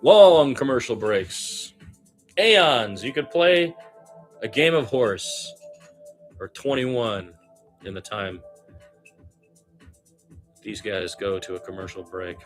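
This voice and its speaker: A man with a fairly low voice does amateur radio play-by-play of basketball. He gets animated on the plays and drifts into wry, musing, conversational asides as a fan. Faint music sits behind his voice. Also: slow pace, long pauses. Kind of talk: live basketball commentary with casual rambling asides.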